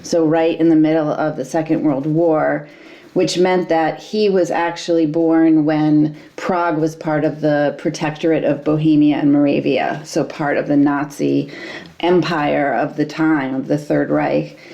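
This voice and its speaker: A woman historian in her fifties, answering interview questions in a measured, thoughtful way with frequent ums. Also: sits low, flat delivery, creaky, dark tone, dull audio. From United States. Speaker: historian guest.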